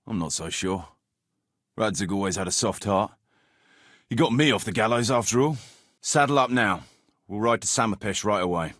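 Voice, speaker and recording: A man playing a rough, foul-mouthed medieval soldier in a video game, speaking bluntly and earthily as the leader of a hard-drinking band. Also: lowish voice, moderate pace, long pauses, fairly bright tone, dry recording, clean studio sound. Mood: subdued, resigned. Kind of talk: video game dialogue acting.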